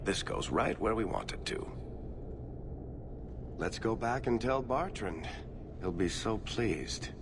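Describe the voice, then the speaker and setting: An actor playing a wry, roguish dwarf adventurer in a fantasy video game, talking in an unhurried way. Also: male voice, on the low side, animated, very noisy. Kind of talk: dramatic video game dialogue.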